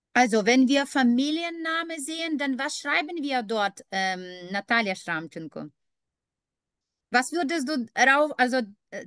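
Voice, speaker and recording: A woman teaches a beginner language class over a video call, speaking very slowly and with patient encouragement. Her voice sits a bit high. The sound is dry and close, with no room echo. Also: fairly bright tone. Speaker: German teacher.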